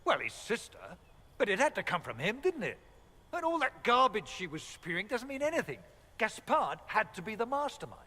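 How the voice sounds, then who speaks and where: An actor with a British accent playing a blunt, exasperated, foul-mouthed mercenary captain in a fantasy video game. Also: male voice, high-pitched, wildly swooping pitch, normal speed, bright, forceful tone, heavily acted. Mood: aggrieved.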